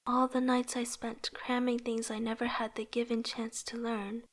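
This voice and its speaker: A young woman playing an earnest, struggling artist in a motion comic, narrating introspectively in a soft, breathy voice. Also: on the high side, level tone, normal speed, lightly acted. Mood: reflective, weary. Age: young adult. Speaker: narrating lead character. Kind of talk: scripted first-person narration.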